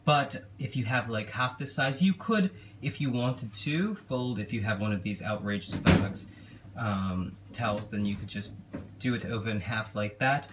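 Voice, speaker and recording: A young man playing a genteel, affectionate elf king giving a gentle, hesitant, casual cooking demonstration. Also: medium pitch, normal speed, lightly acted. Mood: chatty, unhurried. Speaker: cooking show host. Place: small home kitchen, indoors. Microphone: camera a step or two away. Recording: clearly noisy kitchen recording.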